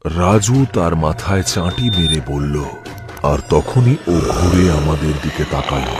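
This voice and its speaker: A man's voice: rumbling low, measured pace. A man narrating a scripted first-person horror story for a cartoon. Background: music.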